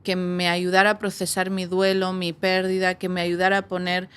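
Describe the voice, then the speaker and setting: A woman's voice: on the low side, monotone, moderate pace, fairly bright tone, smooth. A woman guest recounting her own experience in a thoughtful, conversational way, often ending points with tag questions. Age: adult. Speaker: guest, adoptee. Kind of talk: personal testimony in conversation.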